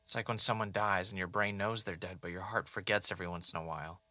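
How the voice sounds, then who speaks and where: A low-voiced man , played by an actor, hosts a podcast as a haunted, defensive former reality contestant. His tone is bright and forceful, and the sound is very dry. The delivery is natural audio drama dialogue. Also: steady tone, fast talker, no echo. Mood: wistful, aching. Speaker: podcast host.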